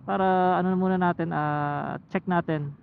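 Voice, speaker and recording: A motovlogger with a Filipino accent chats casually and thinks aloud in short halting phrases, speaking through a helmet intercom while riding. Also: mid-range voice, steady tone, creaky. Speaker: motovlogger reviewing camera gear. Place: outdoors, riding a motorcycle. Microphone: motorcycle helmet intercom headset. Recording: tinny helmet intercom mic, road noise.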